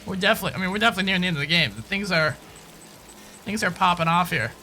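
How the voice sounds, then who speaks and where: A man giving casual live gameplay commentary and chatting informally with viewers, halting and repeating himself at times. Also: high-pitched, bright, forceful tone, a little hiss or hum. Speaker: game streamer.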